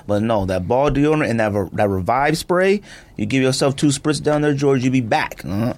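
A man hosting a podcast in casual, joking banter, animated and slangy, often repeating himself for emphasis. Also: mid-range voice, moderate pace. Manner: animated, jokey, slangy and repetitive. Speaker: podcast host. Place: podcast studio, indoors.

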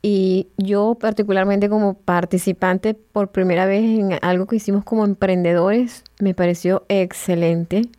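A woman hosting a radio interview, with a mellow, rounded tone. She speaks at a relaxed, unhurried pace and leans on repeated words for emphasis. The recording is close and dry, with no room sound. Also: mid-range voice, dry recording. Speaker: radio show host.

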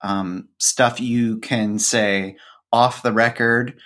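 A man with a mid-pitched voice talks casually in an interview. He has a wry, dryly humorous manner and sounds like a podcaster telling stories. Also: fairly even intonation, very slow, fairly bright tone. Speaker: podcast co-host and author, being interviewed.

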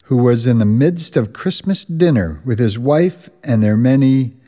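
An actor narrating a Christmas radio drama as a storyteller, measured and expressive. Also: male voice, mid-range voice. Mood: measured storytelling. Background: music.

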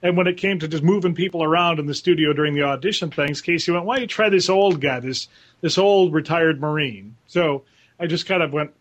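Guy on a panel, voice actor, fairly high voice, chatty storytelling. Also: a little fast. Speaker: voice actor guest. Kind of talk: casual anecdote on a panel.

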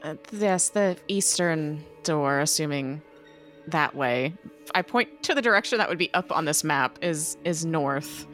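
A woman playing a tabletop role-playing game, talking things through in a deliberate, explanatory way. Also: deep voice, animated, a bit bright, faint music behind. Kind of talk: casual in-game discussion.

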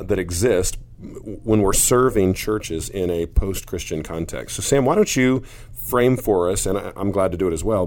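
A man's voice: low-pitched, darkish tone. A man hosting a podcast from a studio, talking casually in an upbeat, joking way with some fillers. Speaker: podcast host.

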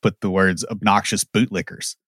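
A man's voice: sits low, steady tone, normal speed, fairly bright tone, no echo, clean studio sound. A man co-hosting a podcast, giving blunt, wry opinions and anecdotes. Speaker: podcast co-host. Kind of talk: casual opinionated chat.

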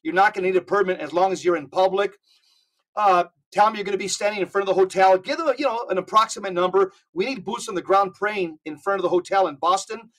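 A man speaking as a podcast guest, urging listeners with rapid lists of instructions. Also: high-pitched, a bit bright. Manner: urgent, exhorting, rapid lists. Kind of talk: casual podcast talk.

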